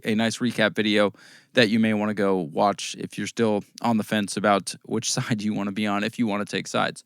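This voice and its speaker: An American man with a voice worn hoarse, casually recapping local short-track races as a podcast host, rambling through results with fillers. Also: fairly low pitch, dry recording, studio quality. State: losing his voice, coughing at times. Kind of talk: casual race recap talk.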